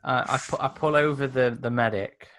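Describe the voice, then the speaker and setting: A man playing a tabletop role-playing game with friends, chatting casually and restarting his sentences haltingly. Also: medium pitch, fairly bright tone, a little hiss or hum.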